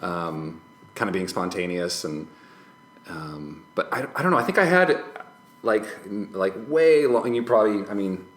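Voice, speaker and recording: A man's voice: low-pitched, expressive, moderate pace, fairly bright tone. A man as a podcast guest in casual personal conversation, speaking hesitantly and often correcting himself mid-phrase. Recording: roomy, echoing podcast recording.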